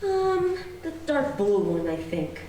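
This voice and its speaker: A woman's voice: high voice, very animated, takes it slowly, warm tone, exaggerated voice. A woman playing a polite, eager teenage girl in old-time radio comedy style. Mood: polite, helpful. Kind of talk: 1940s radio comedy acting. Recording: very echoey, distant, faint noise.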